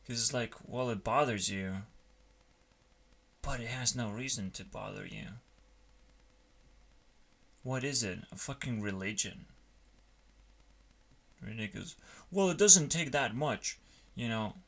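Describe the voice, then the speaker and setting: A man rambling casually in a halting, musing way, often trailing off, as if talking through his work on a stream. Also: on the low side, creaky, a bit bright. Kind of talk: rambling casual chat while coding. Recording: noticeable background noise, keyboard clicks.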